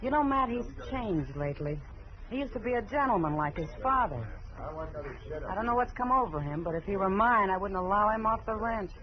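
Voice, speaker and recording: A woman's voice: mid-range voice, animated, normal speed, natural voice. An actress playing a tough, outspoken saloon woman in a 1950s Western radio drama, dry and plainspoken. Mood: dry annoyance. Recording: muffled vintage radio recording.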